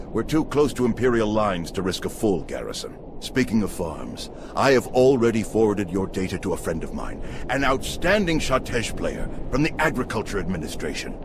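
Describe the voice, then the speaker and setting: An actor playing a gracious, resolute military general in a video game cutscene, formal and solemn, with noise behind him. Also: male voice, fairly low pitch. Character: stately, battle-weary male military general, gracious and resolute.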